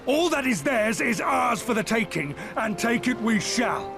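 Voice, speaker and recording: A bold, defiant pirate captain in a video game. His voice sits high and swings widely as he declaims with command. Music plays clearly behind him. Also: big pitch swings, a little slow, full character voice. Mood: rousing, defiant. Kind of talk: video game cutscene dialogue.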